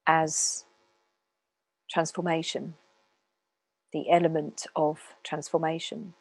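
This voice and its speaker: A woman speaking as a meditation teacher, calmly guiding listeners in an unhurried way with long pauses. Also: low-pitched, no room sound, rough recording. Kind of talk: guided meditation talk.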